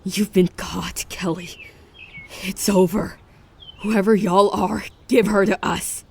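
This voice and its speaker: Menacing rival-pack enforcer, actress, gravelly, slow, audio drama, faint ambient noise. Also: female voice, medium pitch, fairly even intonation, a little mellow.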